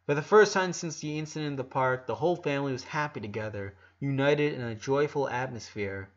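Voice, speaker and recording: A man reads a story aloud in a mid-pitched voice, as a scripted narration. He trips over a word here and there. The recording is a little dull and lo-fi. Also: a little fast. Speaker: narrator reading a fan fiction story aloud.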